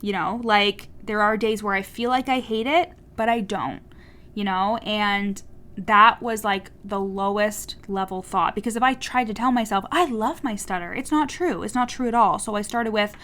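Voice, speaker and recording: A young woman with a stutter, hosting a casual solo coaching podcast in a candid, swearing, animated way. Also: mid-range voice, normal speed.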